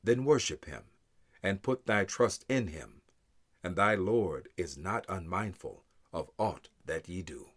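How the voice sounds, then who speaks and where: A man reading scripture aloud in English in a solemn, measured way, with deliberate phrases that open with emphasis and fall away at the end. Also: low voice, lively intonation, a bit bright. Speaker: scripture reader.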